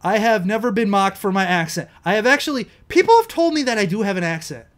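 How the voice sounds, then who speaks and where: Rambling young Midwestern man, slightly high voice. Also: big pitch swings, fast. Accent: Midwestern American. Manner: rambling, self-correcting, swears, laughs at himself. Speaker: vlog show host. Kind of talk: casual solo commentary, reading quiz items aloud.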